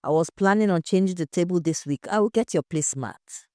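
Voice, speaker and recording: Storyteller lady doing an expressive married hostess, emphatic, mellow voice, dry sound. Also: fairly low pitch, fairly quick, no echo, natural voice. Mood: gracious. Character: gracious married hostess, grieving friend, defensive when questioned.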